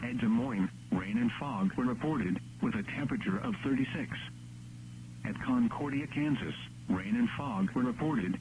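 A synthetic male announcer reading scripted weather bulletins in a measured, impersonal text-to-speech delivery. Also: medium pitch, a little mellow. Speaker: automated weather radio announcer. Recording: noisy radio broadcast with hum.

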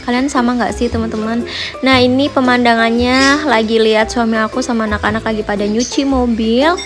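A woman's voice: fairly high pitch, fairly even intonation, brisk. A woman in her thirties, a housewife vlogger, narrating her chores in a friendly, chatty voice-over that keeps addressing her viewers. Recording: voice-over with music bed. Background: background music.

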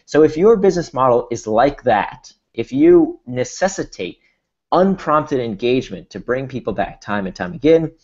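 A man's voice: medium pitch, expressive, moderate pace, a little mellow. An Israeli-born man with an American accent, presenting a workshop over a video call, explaining steadily and restarting with repeated words. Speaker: workshop speaker. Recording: dull lo-fi video call audio.

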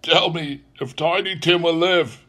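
A man voicing an anxious Victorian gentleman who beseeches with pity. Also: on the high side, extremely slow, voice pushed up, heavily acted.

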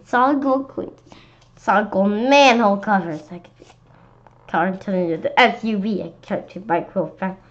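A woman's voice: medium pitch, fairly bright tone, lo-fi sound, close to an everyday voice. Storybook villain: a big greedy male monster, bragging and threatening, read by a woman. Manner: boastful, scheming villain talk.

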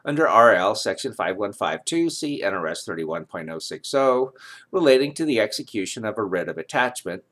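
A man in his sixties reading formal legal text aloud, enunciating numbers and citations deliberately. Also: fairly low pitch, sing-song, fairly bright tone. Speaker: reader of his own legal reference book. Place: home study lined with bookshelves, indoors.

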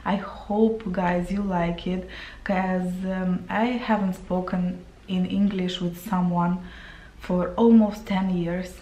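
A woman in her thirties from Moldova, speaking English with a Romanian accent, chatting to camera while painting her face, often pausing for words. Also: lowish voice, flat delivery, mellow, roomy sound, slight background noise, poor audio. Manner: chatty, searching for words, with fillers. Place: small room indoors.

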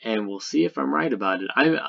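A man giving casual, unscripted gaming commentary as a video host, speaking haltingly as he thinks aloud. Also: medium pitch, expressive, creaky, bright, forceful tone, dull audio.

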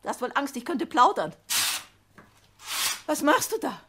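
Shrill, spiteful old mother. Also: very animated, unhurried, a little hiss or hum, exaggerated voice. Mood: furious, accusing. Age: older. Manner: sharp, needling, commanding. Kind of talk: radio play acting.

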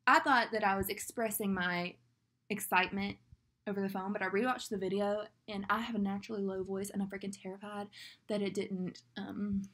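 A woman's voice: medium pitch, fairly even intonation, a little fast, a bit bright. A young woman with a Southern US accent talks casually and ramblingly to her laptop camera from a small room. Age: young adult. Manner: chatty, rambling, fillers like "like". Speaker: vlogger.

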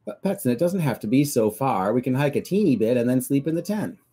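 A man voicing a small, excitable boy cat in a storybook reading, high and eager. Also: breathy edge. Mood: excited. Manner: excitable, quick to complain.

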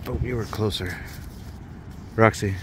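Man, lowish voice, playful coaxing dog talk, beach at night, noisy outdoor handheld recording. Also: measured pace. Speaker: dog trainer. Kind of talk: casual talk to his dogs. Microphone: handheld camera.

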